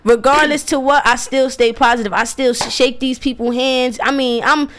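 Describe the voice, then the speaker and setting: A woman's voice: sits high, steady tone, normal speed, fairly bright tone, slight background noise. A young American woman speaking casually and self-assuredly in a radio interview, stressing her points and repeating phrases. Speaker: radio DJ and show host.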